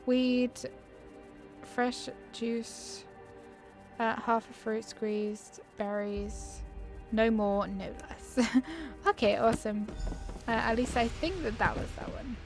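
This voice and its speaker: A woman with a fairly high voice gives casual gameplay commentary. She is chatty and giggly and often muses to herself. Game music plays clearly behind her. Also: slow. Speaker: gaming video host.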